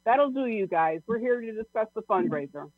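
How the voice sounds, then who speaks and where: Man as a high-pitched, firm school leader. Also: lively intonation, moderate pace. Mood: businesslike. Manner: orderly, authoritative. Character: brisk, firm school leader chairing a meeting. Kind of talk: amateur audio play acting. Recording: tinny, band-limited video-call line.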